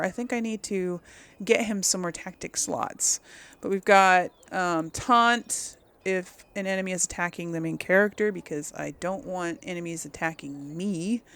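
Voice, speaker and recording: A woman's voice: mid-range voice, gravelly, a bit bright. A woman giving casual gameplay commentary as the host of her series, informal and conversational, thinking aloud as she goes. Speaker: video game streamer, host of a role-play series.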